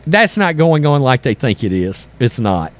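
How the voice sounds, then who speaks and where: A man's voice: mid-range voice, big pitch swings, normal speed. A man hosting an online commentary channel, reading and reacting to news in a blunt, sarcastic, exasperated way. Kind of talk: opinionated political commentary mixed with reading news aloud.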